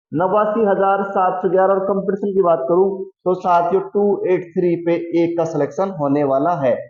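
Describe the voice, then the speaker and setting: A man explaining things to students as a coaching teacher, repeating key figures for emphasis. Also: high-pitched. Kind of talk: explanatory lesson addressed to students. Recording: muffled, echoey room recording.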